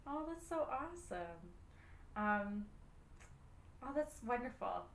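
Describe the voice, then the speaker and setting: A woman's voice: on the high side, big pitch swings, takes it slowly, creaky, roomy sound, slight background noise. A woman radio host chatting enthusiastically with her guests, recorded inside a small trailer. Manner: bubbly, enthusiastic, many likes. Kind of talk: casual interview chat. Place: small trailer on an outdoor theatre site.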